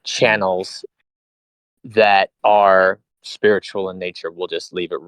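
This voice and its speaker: A man's voice: sits low, little pitch movement, takes it slowly, a bit bright, dry recording, poor audio. A man over forty hosting an online interview, asking questions in hesitant, searching phrasing. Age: late 30s or older. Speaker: host. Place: online voice chat.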